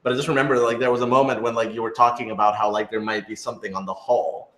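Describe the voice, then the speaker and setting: A man chatting casually and thinking aloud as a writer answering questions on a panel, in an animated, informal way. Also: medium pitch, quick pace. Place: echoey room, live streamed panel. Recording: roomy, echoey sound.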